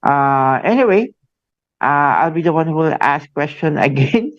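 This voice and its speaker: A Filipino man hosting a radio interview, talking haltingly with many fillers and a tag "no?" after his phrases. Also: a little high, expressive, dry recording. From Philippines. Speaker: radio show host. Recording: dull lo-fi radio sound.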